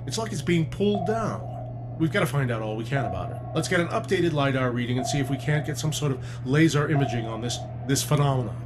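A man's voice: mid-range voice, lively intonation, fast talker, lightly acted. Determined submarine commander played by a man, music behind. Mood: amazed, intent. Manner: authoritative, demanding. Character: determined, forceful male American submarine captain. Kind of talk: audio drama acting.

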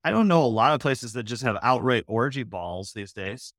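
A man's voice: mid-range voice, animated, moderate pace, fairly bright tone, no echo. Wry man chatting, close and dry. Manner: wry, sarcastic. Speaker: podcast guest. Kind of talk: casual comedic chat.

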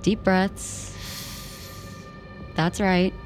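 An actress playing a soothing, chatty female acupuncturist with a gentle, coaxing manner in an audio drama. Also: medium pitch, little pitch movement, drawn-out words, soft background music.

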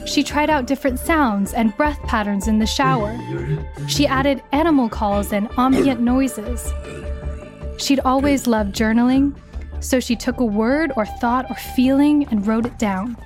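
High-voiced woman narrating a story over music. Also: unhurried. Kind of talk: scripted children's biography narration.

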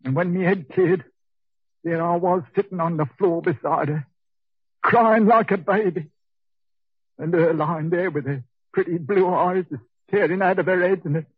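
An actor playing a coarse, sickly Cockney convict in a 1940s radio drama, husky and slangy, on an old muffled recording. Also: male voice, high voice, dry recording, exaggerated voice. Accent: Cockney English. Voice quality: husky, rough. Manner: slangy, chatty, needling. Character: coarse, hot-tempered, sickly working-class male convict.

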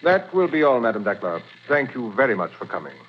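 An actor playing a polite, fussy museum curator in a 1940s radio drama, courteous in manner. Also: male voice, medium pitch, sing-song, brisk, lightly acted. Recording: muffled old radio broadcast with clear hiss.

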